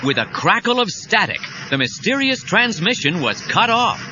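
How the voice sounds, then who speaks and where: A man's voice: high voice, big pitch swings, normal speed, background noise. A man narrating a scripted adventure story in a dramatic storytelling style. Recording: dull cassette sound, music behind.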